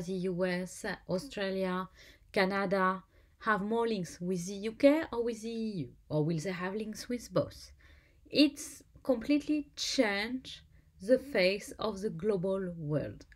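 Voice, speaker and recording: French-accented woman teaching legal English. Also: medium pitch. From France. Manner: halting, self-correcting, with frequent restarts. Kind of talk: lecture-style explainer over slides. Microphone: voice-over.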